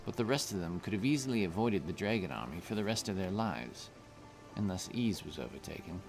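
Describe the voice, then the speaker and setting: A man's voice: low-pitched, expressive, brisk, creaky, faint music behind. A man narrating a scripted fantasy story.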